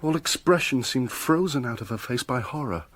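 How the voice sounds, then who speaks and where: A man's voice: mid-range voice, lively intonation, normal speed, a bit bright. An actor playing an earnest, guilt-ridden young man in love, in a radio drama. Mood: troubled, confiding.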